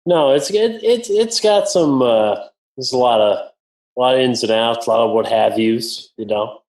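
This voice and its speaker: A man's voice: medium pitch, unhurried, a little mellow. A man chatting casually as co-host of a music podcast. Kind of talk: casual chat. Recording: echoey room.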